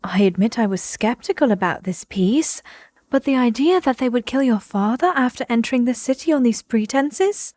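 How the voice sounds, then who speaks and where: A woman playing a grave, earnest young noblewoman oracle with a formal, measured manner in an audio drama. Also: on the high side, animated, darkish tone, no room sound. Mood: doubtful.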